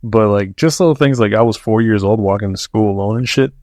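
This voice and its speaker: A man's voice: lowish voice, a little fast, a little mellow, dry recording, studio quality. Laid-back profane man in casual chat. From Mexico. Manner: loose, profane, laughing a lot. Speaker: podcast host.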